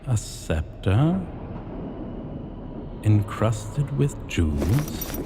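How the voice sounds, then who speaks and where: A man playing an imperious, possessive dragon king in a fantasy ASMR roleplay, speaking in a commanding, deliberate and haughty manner. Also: on the low side, big pitch swings, exaggerated voice. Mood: possessive, pleased. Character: imperious male dragon king, menacing, possessive, slyly amused. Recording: long hall reverb, faint background noise.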